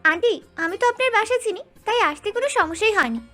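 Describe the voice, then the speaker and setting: A woman's voice: very high voice, lively intonation, rapid-fire, fairly bright tone, soft background music. A woman playing a friendly young college girl in an audio story drama.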